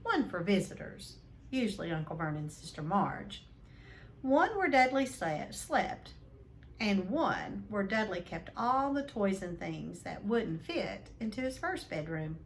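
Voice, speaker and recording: A woman reading a children's novel aloud as an expressive storyteller. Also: mid-range voice, measured pace, a little hiss or hum.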